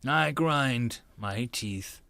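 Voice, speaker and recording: A man's voice: medium pitch, extremely slow, vocal fry, pushed and bright, no room sound, studio quality, exaggerated voice. A man playing a proud, skeptical young man who is easily flustered, telling his own story in an audiobook. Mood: grudging, mortified.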